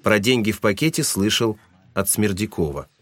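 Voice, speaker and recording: A man's voice: lowish voice, expressive, normal speed, fairly bright tone, no room sound. A man narrating a literary audio drama in a scripted, dramatic storytelling style. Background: music.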